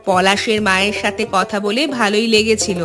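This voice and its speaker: A woman's voice: medium pitch, flat delivery, moderate pace, lightly acted. A woman voicing a knowing, middle-aged village mother in a cartoon, chiding and teasing with bossy assurance. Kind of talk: cartoon voice acting. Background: background music.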